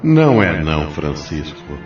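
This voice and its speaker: Very deep, echoing fatherly ghost. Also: sing-song, measured pace, darkish tone, exaggerated voice. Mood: solemn, affectionate. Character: kindly ghost of a dead fatherly old man. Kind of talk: audio drama acting. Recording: muffled, echoey, distant, faint music behind.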